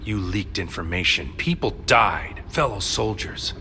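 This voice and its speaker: An actor playing a tormented psychic soldier in an audio drama, grave and brooding, with formal, poetic phrasing. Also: male voice, lowish voice, animated, a little slow, sharp tone, slight background noise, heavily acted. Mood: pained. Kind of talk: audio drama acting with narrated inner monologue. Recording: studio voice over music.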